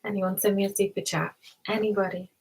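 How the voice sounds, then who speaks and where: A woman hosting an ASMR live stream, chatting to listeners in a hushed, soft voice that often drops into a whisper. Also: mid-range voice, flat delivery, fast talker, breathy edge, low-quality recording. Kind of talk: ASMR, soft whispering and soft talk with mouth sounds.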